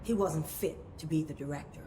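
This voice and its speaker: A woman playing a low-voiced, stern official. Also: a little hiss or hum, close to an everyday voice. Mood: grave. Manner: measured, formal, commanding. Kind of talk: video game cutscene acting.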